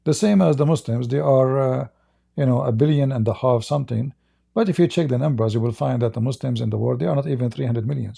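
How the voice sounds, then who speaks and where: A male host of a live call-in show, answering a caller in a firm, measured and emphatic way. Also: mid-range voice, gravelly, mellow, breathy edge.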